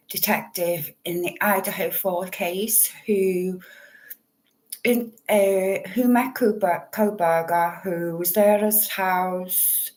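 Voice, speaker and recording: A woman's voice: darkish tone, poor audio. A woman, hosting a casual true crime livestream, rambling and apologetic as she fiddles with her setup. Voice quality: low voice. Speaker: true crime channel host.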